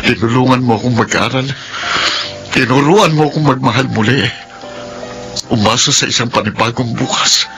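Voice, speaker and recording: A man's voice: medium pitch, normal speed, over music, natural voice. A man playing an anguished, loving young husband in a radio drama, speaking emotionally and reproachfully.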